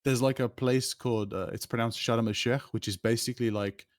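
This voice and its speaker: A young man talks casually and animatedly, tossing in "bro" and laughing often. His voice sits at a middling pitch. It is recorded dry and close, with no room sound. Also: fairly even intonation, fairly quick, dry recording. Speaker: streamer guest. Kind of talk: casual chat.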